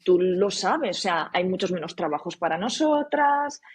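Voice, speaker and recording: A woman's voice: on the low side, lively intonation, normal speed, slightly rough. A woman from Spain, a podcast guest, talking in a casual interview and often restarting and repeating words for emphasis. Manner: frequent restarts, emphatic repetition.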